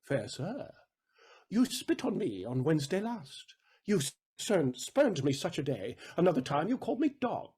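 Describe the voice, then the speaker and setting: An actor playing an aggrieved, wily moneylender in a Shakespearean audio drama, deliberate, rhetorical and sardonic in manner. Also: male voice, on the high side, very animated, a little mellow, slightly rough, heavily acted. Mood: bitter, sardonic.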